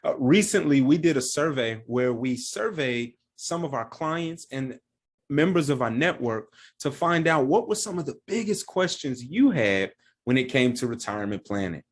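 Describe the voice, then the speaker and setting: A man's voice: mid-range voice, expressive, normal speed. Plain-spoken male financial advisor. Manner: plain-spoken, walks through examples step by step. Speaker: financial advisor and firm founder. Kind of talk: explaining retirement planning to camera.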